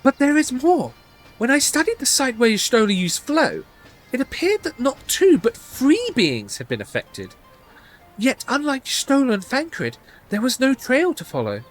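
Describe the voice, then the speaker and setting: A man's voice: very high-pitched, wildly swooping pitch, moderate pace, soft background music, voice pushed up, exaggerated voice, rough recording. A man voicing an earnest scholarly researcher from a fantasy game, formal and precise as theories are laid out. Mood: earnest, explanatory.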